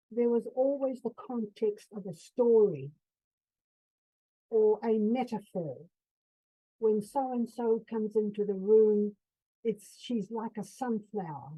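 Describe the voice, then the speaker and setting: Slow-speaking older woman from Fiji. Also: a little high, dark tone, slightly rough, low-quality recording. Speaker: author and psychotherapist. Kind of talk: radio interview.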